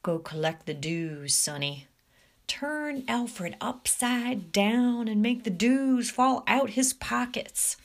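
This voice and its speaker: A woman's voice: on the high side, lively intonation, slow pace, voice pushed up. A woman voicing a muscular, swaggering teenage male bully with a taunting, jeering manner in a storybook reading. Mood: menacing.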